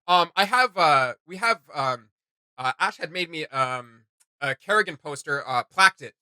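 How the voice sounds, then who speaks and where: Male reaction host, higher-pitched and very bright, excitable slangy delivery, close dry sound. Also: moderate pace, clear, resonant tone, no echo. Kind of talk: casual, enthusiastic reaction commentary.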